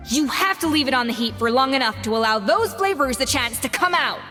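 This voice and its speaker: A woman playing a strict, exacting young head chef in a video game, brisk and commanding. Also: high voice, expressive, sharp tone. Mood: stern, scolding. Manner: stern, clipped, commanding. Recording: reverberant, faint music behind. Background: music.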